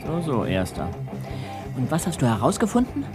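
A good-natured, easily baffled young detective speaks with lively swings in a mid-range voice. The delivery is a little hesitant, with faint music behind it, in audio drama style. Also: male voice, mid-range voice, normal speed. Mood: curious. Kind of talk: German audio drama acting.

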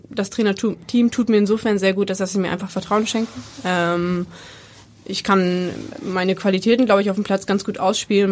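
A woman in her twenties from Bremen, a football player answering interview questions with many fillers and hesitations. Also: mid-range voice. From Bremen, Germany. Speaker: women's football player, guest.

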